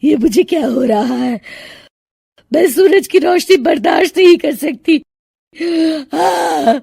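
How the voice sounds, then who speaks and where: A woman's voice: sits high, vocal fry, mellow, no room sound, clean studio sound, exaggerated voice. A woman voicing a witch in wailing agony in a cartoon story. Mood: agonised. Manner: wailing, drawn-out. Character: female witch burning in sunlight, in agony.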